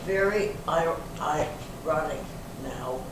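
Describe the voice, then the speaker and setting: A woman playing a feisty, indignant older suspect in an amateur readers theater reading, plain-spoken and slightly halting. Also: low voice. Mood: matter-of-fact. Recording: very echoey hall, distant mic, noisy.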